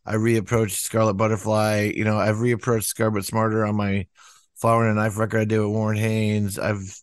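An older man musician talks in a loose, rambling way, stacking up "you know"s and slipping into higher voices when he quotes people. His voice sits low. The sound is dry and close. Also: little pitch movement, moderate pace, smooth, dry recording. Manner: rambling, many "you know" fillers, acts out quotes in a raised voice. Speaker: musician guest. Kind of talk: casual interview talk.

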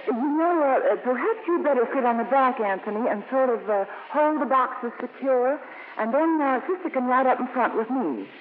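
An actress playing an earnest, gentle nun in a 1950s radio drama, polite and eager with hesitant pauses. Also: female voice, high-pitched, expressive. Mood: cheerful, brisk. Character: earnest, gentle French nun, quietly determined and cheerful. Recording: noisy narrow-band 1950s radio broadcast.

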